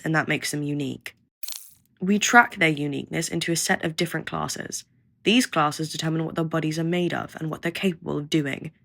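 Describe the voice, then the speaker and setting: A woman's voice: sits low, creaky, a little hiss or hum. A woman playing a curt, condescending government researcher who briefs a recruit with blunt, sardonic impatience in an audio roleplay. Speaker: researcher guiding a new recruit. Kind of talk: audio roleplay acting, addressed to listener.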